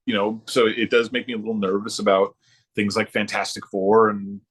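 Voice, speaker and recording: A middle-aged man chatting casually about his hobby as a podcast guest, haltingly, often circling back and repeating himself. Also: fairly low pitch, little pitch movement. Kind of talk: casual chat about comic books.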